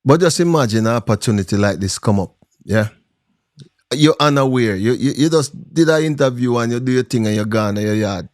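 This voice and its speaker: A man's voice: mid-range voice, animated, fairly quick, a little mellow, no echo. Emphatic Jamaican man, dry sound. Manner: emphatic, rhetorical. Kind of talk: casual commentary to camera.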